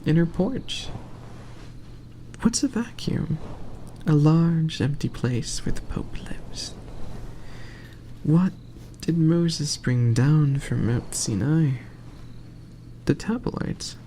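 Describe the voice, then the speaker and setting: A man reading aloud in a soft ASMR bedtime style, hushed and often slipping into a whisper. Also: on the high side, animated, extremely slow, pauses often, slight background noise.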